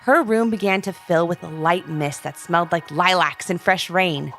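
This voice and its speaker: A woman's voice: fairly low pitch, moderate pace, a bit bright. A woman narrating a scripted children's story expressively, with dramatic emphasis. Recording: music under the voice. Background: music.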